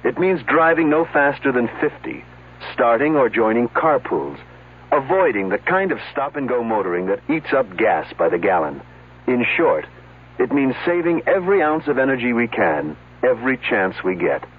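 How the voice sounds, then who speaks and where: A man reads a public service message with earnest emphasis and a lively, rising and falling pitch. His voice is husky and sounds like an old radio broadcast. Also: normal speed, phone-quality sound. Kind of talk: scripted announcement.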